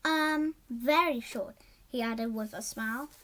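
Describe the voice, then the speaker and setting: A child reading a storybook aloud in a halting way, stumbling over words and repeating them. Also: medium pitch, bright, forceful tone.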